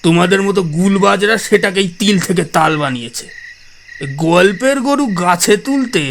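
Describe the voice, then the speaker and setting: A man's voice: high voice, lively intonation, normal speed, vocal fry, fairly bright tone, a little hiss or hum, heavily acted. A man playing a rational, scoffing sceptic, mocking and colloquial, in a horror audio drama. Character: scoffing, rational male sceptic arguing with his friend.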